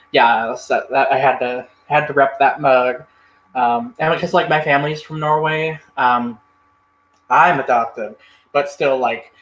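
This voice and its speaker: A man's voice: mid-range voice, fairly bright tone, reverberant, low-quality recording. A man hosting a casual livestream, reading and answering chat comments in a rambling, friendly way with lots of fillers and restarts. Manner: friendly, thanks viewers often. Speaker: livestream host.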